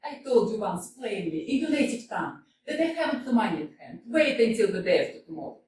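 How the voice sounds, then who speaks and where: An amateur actress reading the part of a proud, reclusive widow, prim and reproachful. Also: female voice, mid-range voice, big pitch swings, fast, darkish tone, slight background noise, natural voice. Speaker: amateur actor in a staged reading. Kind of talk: staged play reading. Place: small community theater stage. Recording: echoey hall from a distance.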